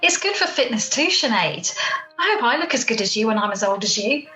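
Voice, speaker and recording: A woman's voice: on the high side, big pitch swings, a little fast, pushed and bright, soft background music. A woman playing a blunt, flippant young daughter in an amateur radio play. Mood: chatty, teasing. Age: young adult. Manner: flippant, teasing. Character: cheeky, blunt young daughter and shop worker. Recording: roomy home recording via video call.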